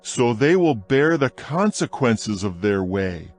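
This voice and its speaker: A man narrating scripture as a dramatized audiobook, solemn and deliberate, with emphatic delivery. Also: mid-range voice, big pitch swings, soft background music.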